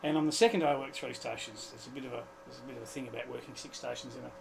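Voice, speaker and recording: A man with an Australian accent chatting casually as a guest, explaining his hobby in a halting, colloquial way. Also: medium pitch, gravelly, crowd noise, low-quality recording. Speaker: guest, amateur radio operator. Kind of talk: casual chat. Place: club radio studio.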